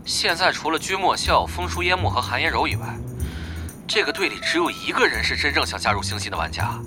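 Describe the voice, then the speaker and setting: A man's voice: on the high side, animated, fairly quick, music in the background, natural voice. A man playing a blunt, commanding coordinator of undercover guild spies in an audio drama, businesslike in manner.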